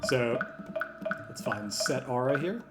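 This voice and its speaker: A man hosting a software tutorial, talking through each step in an informal, explanatory way. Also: medium pitch, lively intonation, slow, loud music behind the voice. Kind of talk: step-by-step screen tutorial narration, conversational. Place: voice-over for a screen recording.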